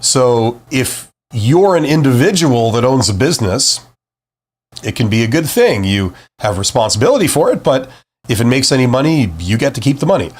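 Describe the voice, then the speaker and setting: A man recovering from the flu hosts a casual live-stream business lesson. He talks directly to his viewers in a relaxed way, with frequent "uh" fillers. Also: mid-range voice, moderate pace, breathy edge. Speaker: host and business teacher.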